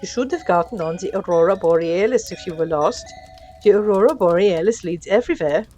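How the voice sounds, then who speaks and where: A woman's voice: on the low side, fairly quick, dark tone, soft background music, close to an everyday voice. A woman voicing a haughty, impatient, skinny elf in an audio story, curt and scolding. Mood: scornful, exasperated.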